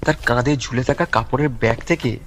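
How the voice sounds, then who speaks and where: A man's voice: medium pitch, brisk, fairly bright tone, slight background noise. A man narrating a scripted audio story as its storyteller. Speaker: story narrator, speaking as the detective's companion.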